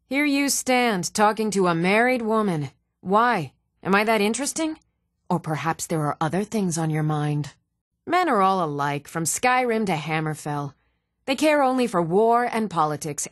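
An actress playing an even-toned townswoman and shopkeeper in a fantasy video game, speaking in measured, clearly enunciated, theatrical phrases. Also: mid-range voice, dry recording, studio quality, close to an everyday voice. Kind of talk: scripted video game voice acting.

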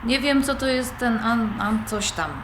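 A woman's voice: on the high side, a little slow, lightly acted. A young woman playing an independent-minded granddaughter, conversational and questioning, in audio drama dialogue. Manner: conversational, questioning, mildly protesting. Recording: roomy recording with background noise.

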